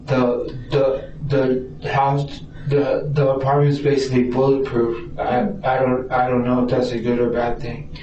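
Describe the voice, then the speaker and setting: A man's voice: mid-range voice, monotone, a little slow, mellow. A hesitant American man who stammers and repeats words while answering questions in a police interview. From Arizona, United States. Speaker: suspect being questioned by police. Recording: distant roomy mic, dull audio, faint noise.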